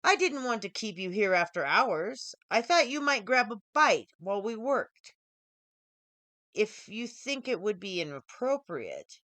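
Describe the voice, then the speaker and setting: A suave, mysterious gentleman professor, played by a woman narrator for an audiobook. He speaks in measured, drawn-out phrases with sly courtesy. The sound is dry and close. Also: mid-range voice, sing-song, no room sound. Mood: polite, coaxing. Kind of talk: audiobook character dialogue.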